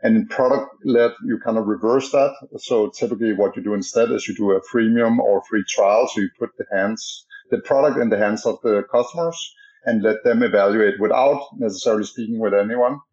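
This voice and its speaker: A man with a Danish accent answers interview questions in a casual, halting way, full of uhs and restarts. His voice sits fairly low. The sound is dull and lo-fi, like a remote call. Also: a little mellow. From Denmark. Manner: halting, many fillers and restarts. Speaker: podcast guest, startup co-founder.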